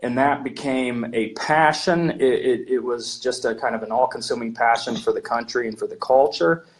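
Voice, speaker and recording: A man's voice: mid-range voice, moderate pace, poor audio. A man with an American accent, a missionary, reflecting earnestly on his past with some hesitations over a video call. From United States.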